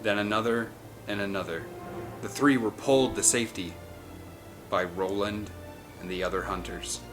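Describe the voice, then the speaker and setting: A man's voice: on the low side, steady tone, moderate pace. Guy reading an adventure story, really dramatic and suspenseful, big orchestral score playing behind him. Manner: theatrical, suspenseful delivery. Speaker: audiobook narrator. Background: orchestral film soundtrack music.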